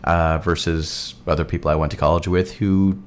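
Deep-voiced man chatting casually. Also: clear, resonant tone. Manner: relaxed, halting with many fillers. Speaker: podcast guest host. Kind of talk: casual chat.

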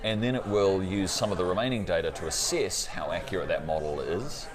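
A man's voice: deep voice, a little fast. A man at a conference explaining technology to an interviewer, often ending with "right?". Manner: explanatory, tags sentences with "right?". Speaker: cloud company partner guest. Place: busy conference floor. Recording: crowd noise behind. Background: crowd chatter.

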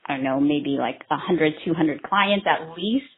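A woman's voice: low voice, normal speed. A woman in her thirties from Vietnam, a brow artist and trainer, answering interview questions in an earnest way with many ums and restarts. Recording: tinny phone-like line.

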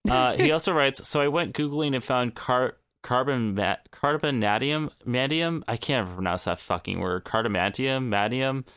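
Young male podcast co-host, smooth clear tone, loose casual delivery. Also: medium pitch, animated, normal speed, hardly any pauses, fairly bright tone, no echo, clean studio sound. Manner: reads listener emails aloud, swears casually, stumbles over words. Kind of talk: casual chat.

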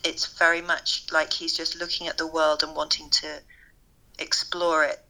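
A soft-spoken, middle-aged woman, a fashion lecturer, chatting enthusiastically with a strained, tired voice. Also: low voice, little pitch movement, moderate pace, low-quality recording. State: voice strained from teaching. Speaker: fashion lecturer and podcast co-host. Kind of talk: casual conversation.

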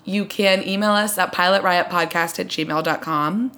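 A woman's voice: lowish voice, fairly even intonation, moderate pace, a bit bright. A woman in her twenties with an American accent, co-hosting a casual pop-culture podcast in an informal, playfully teasing way. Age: 20s. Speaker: podcast co-host.

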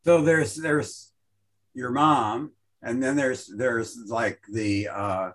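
A man's voice: mid-range voice, slow. A man leading a meditation group discussion, asking friendly questions and often restarting his phrases.

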